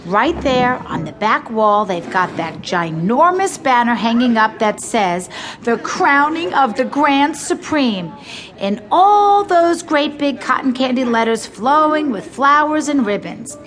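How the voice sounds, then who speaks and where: A woman's voice: high voice, expressive, measured pace, fairly bright tone. An actress playing a pushy, gushing pageant mother who talks to her young daughter with breathless, exaggerated emphasis in an audio drama. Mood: awed, gushing.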